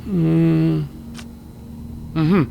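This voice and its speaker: A man's voice: on the high side, level tone, vocal fry, highs cut off, a little hiss or hum, pitched above the performer's own voice, full character voice. A man voicing a mousy, nervous, forgetful fellow who stammers, hums to himself and repeats his phrases in a tabletop game. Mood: muttering, certain. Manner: halting, stammering, repetitive, humming to himself.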